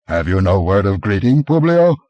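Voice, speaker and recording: A man reading an audiobook, voicing a brawny barbarian adventurer who speaks in a gruff, commanding way, a little higher and louder than his narration. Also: very animated, moderate pace, no room sound, dull audio. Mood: impatient.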